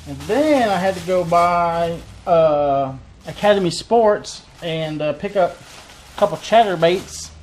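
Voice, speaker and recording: Man, 30s, slightly high voice, loose rambling vlog delivery with lots of filler, desk camera, faint noise. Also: expressive, measured pace. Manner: rambling, repeats phrases, many fillers. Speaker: fishing vlogger. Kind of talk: casual unboxing chat. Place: cluttered tackle room indoors.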